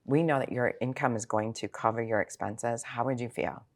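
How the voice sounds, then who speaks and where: A woman's voice: extremely low pitch, fairly even intonation, moderate pace. A sixty-year-old woman speaking as a financial planner in an interview, explaining things informally with examples and rhetorical questions. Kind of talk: conversational interview answers.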